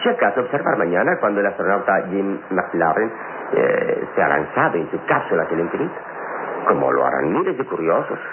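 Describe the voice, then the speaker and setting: Deep, theatrical wise hero. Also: male voice, big pitch swings. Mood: calm, reflective. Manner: measured, theatrical, chuckling. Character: calm, wise adult hero, amused and fatherly toward his young companion. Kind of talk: vintage radio drama acting. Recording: tinny, noisy old radio recording.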